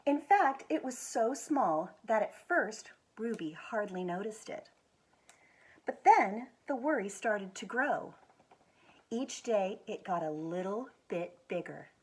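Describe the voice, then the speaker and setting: An American woman with a husky voice reads a children's picture book aloud expressively, stretching words for emphasis. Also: mid-range voice. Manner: expressive, with drawn-out emphasis and quiet asides to show pictures. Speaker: executive director of a schools foundation, guest reader.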